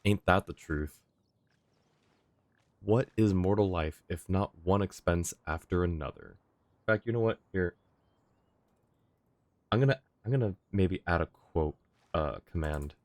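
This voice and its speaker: Low-voiced man streaming, dry sound. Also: a little slow, pauses often, darkish tone, dry recording. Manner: wry, informal. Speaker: game streamer. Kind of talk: casual livestream commentary.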